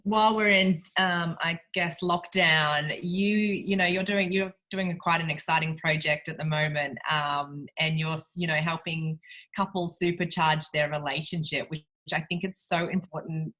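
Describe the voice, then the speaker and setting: Low, bright-voiced woman hosting. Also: steady tone, moderate pace. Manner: hesitant, lots of I guess and sort of. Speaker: host. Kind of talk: casual video call interview. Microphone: video call.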